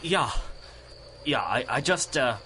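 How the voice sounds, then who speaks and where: An actor playing a nervous, guilt-ridden rocket scientist caught up with villains in a radio drama. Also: male voice, mid-range voice, lively intonation, takes it slowly, bright, forceful tone, a little hiss or hum. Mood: nervous resolve. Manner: nervous, hesitant. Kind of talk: 1930s-style radio drama acting.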